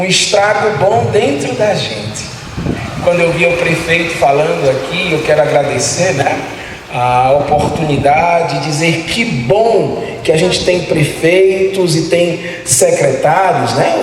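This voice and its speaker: A middle-aged man lectures teachers in a large hall. His fairly high voice rings through a long, roomy reverb, picked up from some distance away. He keeps the audience involved with repeated rhetorical questions. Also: background noise. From Salvador, Bahia, Brazil. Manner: rhetorical, asks the audience questions, tags phrases with "né". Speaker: guest lecturer speaking to teachers. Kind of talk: motivational talk to an audience. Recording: very echoey hall, voice heard from a distance.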